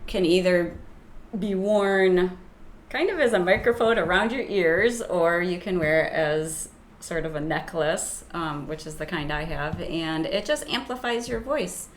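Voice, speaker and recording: A soft-voiced woman teacher talks slowly and a little hesitantly, often pausing on fillers. Her voice sits fairly low, and a faint hiss of noise runs behind her. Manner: hesitant, with many ums and restarts. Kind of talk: interview guest, casual explanation.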